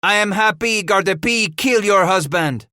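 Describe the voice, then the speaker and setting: A man voicing a vengeful French frontier fighter in an audiobook, shouting orders in broken English. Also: high-pitched, moderate pace, no echo, studio quality, voice pushed up. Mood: taunting.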